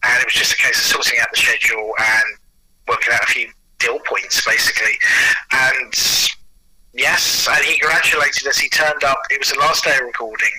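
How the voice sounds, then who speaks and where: A man's voice: medium pitch, fairly even intonation, moderate pace, sharp tone, breathy edge, dry recording, low-quality recording. A man speaking as an interview guest, enthusiastic and anecdotal in casual chat. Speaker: audio producer and actor, interview guest.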